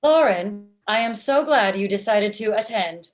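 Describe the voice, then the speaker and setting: A woman's voice: mid-range voice, animated, a little slow, lightly acted. A woman voicing an earnest, literal-minded robot with stilted formal phrasing in a comedy table read over a video call. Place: small room at home, video call. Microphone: video call computer microphone.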